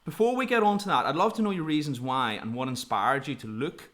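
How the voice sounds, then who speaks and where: Bright-voiced chatty podcast host. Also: male voice, on the high side, brisk. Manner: friendly, chatty, loose rambling sentences. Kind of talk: casual podcast interview.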